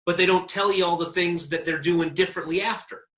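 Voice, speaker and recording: An echoey room carries this man's bright, higher-pitched voice. He chats casually and without a script, as one expert among others on a marketing panel. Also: fairly even intonation, fairly quick. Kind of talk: casual conversation.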